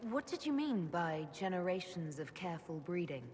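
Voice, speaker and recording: An actress playing a composed, inquisitive heroine and leader in a video game, measured and direct. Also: female voice, fairly low pitch, sing-song, darkish tone. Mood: curious. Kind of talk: video game dialogue acting. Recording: faint background noise.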